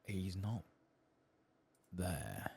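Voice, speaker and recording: A man giving soft-spoken, partly whispered ASMR commentary on a video game, muttering hesitantly as he thinks aloud. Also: deep voice, big pitch swings, extremely slow, creaky, breathy edge. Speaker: host of a video game playthrough channel.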